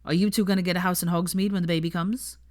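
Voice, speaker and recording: A woman's voice: lowish voice, steady tone, fast, a little mellow, dry recording, clean studio sound, close to an everyday voice. A woman reading the part of a casual, slangy young man. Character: young adult man, an easygoing old friend.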